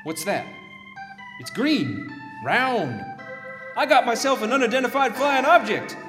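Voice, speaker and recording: An actor playing a blustering, patriotic American balloon pilot with a put-on Minnesota accent and a folksy manner. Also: male voice, extremely high pitch, sing-song, measured pace, in a large hall, music in the background, heavily acted. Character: gung-ho male American balloon pilot major from the 1950s.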